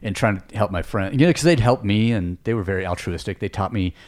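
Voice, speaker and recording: A man's voice: on the low side, fast talker, darkish tone. A man hosting a podcast, chatting casually in a reflective, joking way. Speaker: podcast host.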